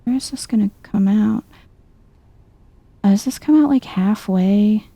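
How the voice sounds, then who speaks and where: Female ASMR let's player: unhurried, chill, soft-spoken, with some creaky fry in a low-lit, relaxed tone. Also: dry recording. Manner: chill, musing to herself. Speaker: video game streamer.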